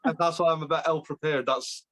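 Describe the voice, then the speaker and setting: A man's voice: on the high side, fairly even intonation, fairly quick, a bit bright. A young Scottish man in his twenties hosting a casual rugby podcast over a video call from a small bedroom, chatty and self-deprecating. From Scotland. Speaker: podcast host. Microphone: video call webcam.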